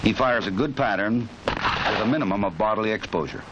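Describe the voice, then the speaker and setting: A man with an American accent giving authoritative, measured scripted narration for a 1960s instructional film. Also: fairly low pitch, lively intonation. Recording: noisy 1960s film soundtrack.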